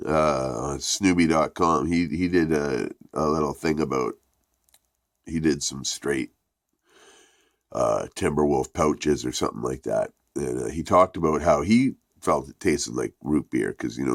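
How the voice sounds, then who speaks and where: Reviewer: man with a very deep, gravelly, congested voice; loose, profane, rambling delivery, close and dry. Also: no room sound. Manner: profane, rambling, laid-back. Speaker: product reviewer.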